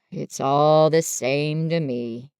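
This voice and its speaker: A woman's voice: low-pitched, steady tone, darkish tone, clean tone, dry recording, clean studio sound, pitched below the performer's own voice, exaggerated voice. A woman voicing a gloomy, put-upon old donkey in a children's story, grumbling in a dreary, resigned way. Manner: slow, dreary, resigned complaining. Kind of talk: children's storybook character reading.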